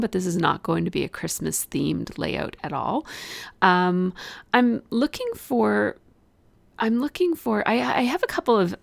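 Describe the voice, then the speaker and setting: A hoarse woman with a heavy gravelly fry and a lowish voice talks through her craft work. She rambles in a relaxed way, pausing on "uh" and "um" as she explains each step. The recording is close and dry. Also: no room sound. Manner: chatty, explains each step with many fillers. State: hoarse from a cold. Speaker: scrapbooking video host. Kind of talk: casual process video voice-over.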